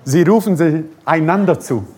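A man's voice: on the high side, normal speed, a little mellow, slightly rough. A man from Croatia, preaching a fervent, repetitive sermon and prayer with joking asides.

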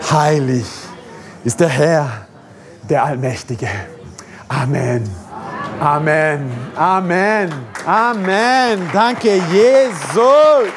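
Casting: male preacher, high voice, fervent with joking asides. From Croatia. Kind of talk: sermon and prayer.

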